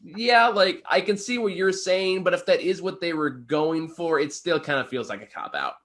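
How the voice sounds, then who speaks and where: Opinionated male film reviewer, slightly high voice. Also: normal speed, fairly bright tone. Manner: opinionated, swears casually. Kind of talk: casual review discussion with a co-host.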